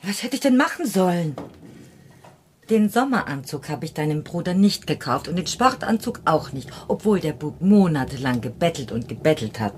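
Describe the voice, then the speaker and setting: A woman's voice: deep voice, big pitch swings, moderate pace, darkish tone, a little hiss or hum. An actress playing a worried, reproachful middle-aged mother in a German radio play. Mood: defensive, worried. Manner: questioning, reproachful.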